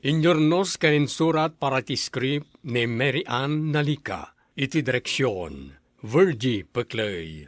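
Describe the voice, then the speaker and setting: A man presents a radio drama program in formal, scripted announcing style. His delivery is measured with wide, lively intonation, and the sound is dry. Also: mid-range voice, no room sound.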